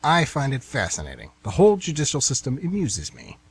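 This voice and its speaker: A man acts out a self-important fifty-something aspiring writer in a radio comedy. He declaims grandly and bristles at slights, with lively swings of pitch and a slightly husky voice. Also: a little fast, heavily acted. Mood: smug. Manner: pompous, grandiloquent, indignant. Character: pompous, defensive 52-year-old aspiring novelist man. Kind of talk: amateur radio comedy play acting.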